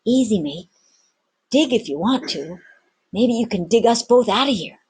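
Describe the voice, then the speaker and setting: A woman's voice: a little high, expressive, normal speed, darkish tone, slightly rough, voice pushed up. A woman voicing a plucky young ship's boy in a storybook, frightened but resourceful, with earnest, urgent seafaring talk. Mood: encouraging.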